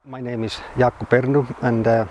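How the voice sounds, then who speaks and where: A man from Finland, a sculptor, speaking in a documentary interview in halting, simple phrases with many pauses. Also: medium pitch, little pitch movement, background noise. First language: Finnish.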